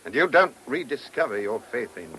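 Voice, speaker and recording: A man playing a principled, stern chief inspector in a radio play, with a terse, morally forceful delivery. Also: mid-range voice, big pitch swings, normal speed. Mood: indignant. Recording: noisy old radio drama recording.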